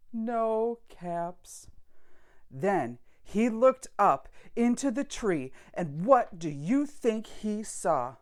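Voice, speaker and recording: A woman reading a picture book aloud for children, expressive and deliberate, with dramatic pauses. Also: mid-range voice. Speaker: storyteller.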